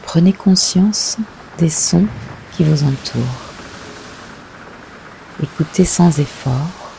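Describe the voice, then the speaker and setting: A woman leading a guided yoga nidra meditation, giving gentle, unhurried instructions with long pauses. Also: low voice, creaky, a little hiss or hum, rough recording.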